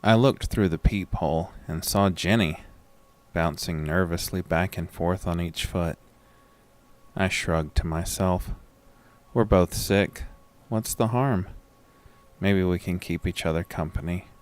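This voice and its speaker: Sick weary man, very low voice, slow measured delivery, first-person horror narration, acted dialogue. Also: darkish tone. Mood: grim narration. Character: sick, groggy man narrating his own horror story.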